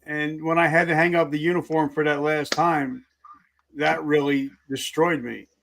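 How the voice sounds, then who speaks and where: Gravelly man hosting an interview. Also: on the high side, flat delivery, normal speed, fairly bright tone, a little hiss or hum. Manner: direct, calls guest brother. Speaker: podcast host, retired army sergeant.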